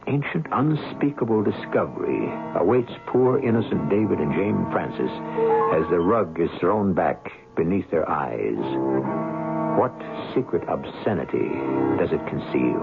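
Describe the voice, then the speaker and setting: An actor as a sinister, theatrical storyteller hosting and narrating a radio mystery in a portentous, deliberate manner. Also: male voice, low voice, sing-song, creaky, heavily acted. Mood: teasing, ominous. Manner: portentous, deliberate, savoring dramatic phrases. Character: sinister, theatrical male horror-show storyteller. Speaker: host and narrator. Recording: muffled old radio broadcast with music.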